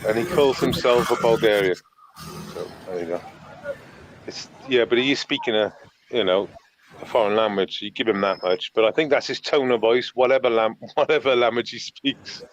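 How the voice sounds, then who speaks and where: A Welsh man with an ordinary middle-pitched voice talks over live footage in a loose, rambling way. He throws in crude, sarcastic asides and restarts often. A faint hiss sits under the voice. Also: moderate pace, rough recording. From Wales. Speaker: live stream host. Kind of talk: casual live commentary on riot footage.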